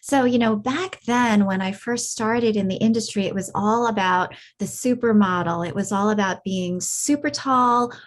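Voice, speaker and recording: A woman's voice: medium pitch, normal speed, hardly any pauses. A woman in her forties talking as a podcast guest, reflective and loose, with many fillers and restarts. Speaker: podcast guest, beauty industry veteran. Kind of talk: casual interview conversation.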